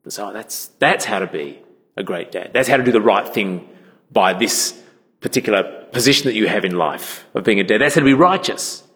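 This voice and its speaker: A man's voice: fairly low pitch, animated, brisk, fairly bright tone. Aussie guy preaching, swoops up and down a lot, echoey church hall. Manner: conversational, acts out quoted voices. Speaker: minister. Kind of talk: sermon.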